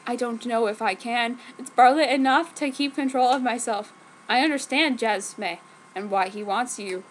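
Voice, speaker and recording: A woman's voice: a little high, moderate pace, a little hiss or hum, natural voice, poor audio. Solemn brooding vampire lover, read by a woman. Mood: mournful resignation. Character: brooding, self-denying young male vampire lover.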